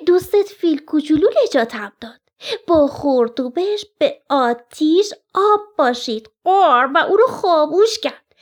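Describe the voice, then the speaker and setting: A motherly crow in a bedtime story, put on by a woman. She speaks high and unhurried, tender and reassuring, with caws between phrases. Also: animated. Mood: tender, proud.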